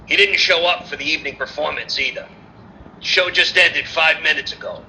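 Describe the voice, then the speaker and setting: A man's voice: a little high, fairly even intonation, moderate pace, sharp tone. An actor playing an obliging male circus manager in an old-time radio play. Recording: noisy live call audio.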